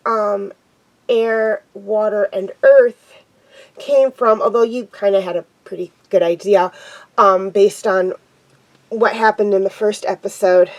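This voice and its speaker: A young woman hosting her own TV review show, her voice nasal and blocked up from a cold. She talks slowly and hesitantly, pausing often and filling gaps with ums. Her voice sits a little on the high side. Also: a little mellow, rough recording. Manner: hesitant, many pauses and ums. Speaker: show host reviewing TV episodes. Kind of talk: casual unscripted episode review.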